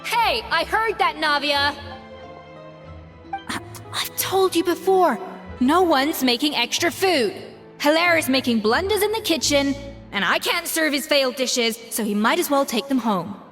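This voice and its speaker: High-voiced, proud young chef. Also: female voice, expressive, fairly bright tone, faint music behind. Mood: indignant, defensive. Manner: brisk, self-assured, matter-of-fact. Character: proud, exacting young female chef, brisk and frank. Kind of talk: anime game voice acting.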